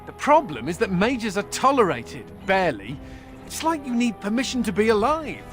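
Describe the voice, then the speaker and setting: An actor playing a sardonic escaped mage in a video game, glib and self-amused with a bitter edge. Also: male voice, high voice, wildly swooping pitch, moderate pace, a bit bright, faint music behind, exaggerated voice. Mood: bitter, indignant. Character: wry male mage and escaped prisoner, playful yet bitter. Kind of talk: video game character dialogue.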